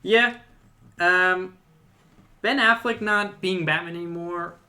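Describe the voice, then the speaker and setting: Bright-voiced, opinionated male podcast co-host. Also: high voice, slow. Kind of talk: casual film discussion.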